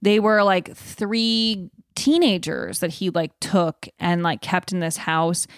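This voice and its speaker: Woman podcast cohost just chatting, voice kinda low, real dry sound. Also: slow pace, dry recording. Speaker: podcast co-host. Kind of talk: casual chat.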